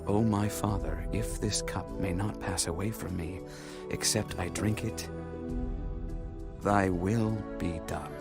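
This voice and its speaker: A man's voice: low-pitched, fairly even intonation, slow, creaky, exaggerated voice. Guy acting as a solemn resolute holy teacher, formal bible drama voice, music behind it. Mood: quiet submission. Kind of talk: dramatized scripture reading. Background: background music.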